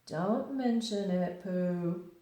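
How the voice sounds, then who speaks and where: Brief: woman voicing a glum, slow old storybook donkey, echoey room. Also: medium pitch, mellow. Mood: gloomy. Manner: slow, drawn-out, mournful. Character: gloomy old male donkey, slow and resigned.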